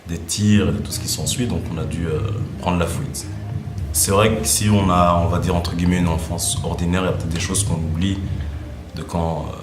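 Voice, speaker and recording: Guy chatting in an interview, really low voice, bit hesitant, roomy echo. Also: fairly even intonation, brisk. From Rwanda and Brussels, Belgium. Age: 30s. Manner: hesitant, reflective, searching for words. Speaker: slam poet and rapper being interviewed. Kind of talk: casual interview talk. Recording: roomy echo with music playing underneath. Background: music.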